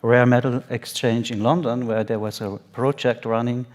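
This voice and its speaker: Mid-pitched male business panelist. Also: fairly even intonation, normal speed. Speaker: company partner on a panel. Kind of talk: informal self-introduction on a panel.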